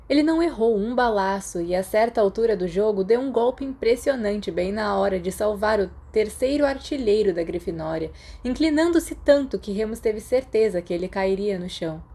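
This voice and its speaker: Brazilian woman narrating fiction, mellow voice. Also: mid-range voice, lively intonation, moderate pace, few pauses, husky. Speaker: podfic narrator.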